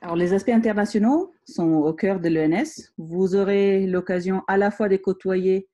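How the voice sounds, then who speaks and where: Italian-accented presenter, mellow, halting, school presentation. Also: medium pitch. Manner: hesitant, many fillers. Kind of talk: presentation to prospective students.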